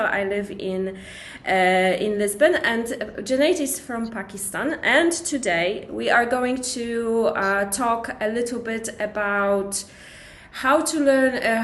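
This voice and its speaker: A woman whose first language is Polish, hosting an interview in a slow, hesitant way with many fillers. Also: on the high side, echoey room. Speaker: host.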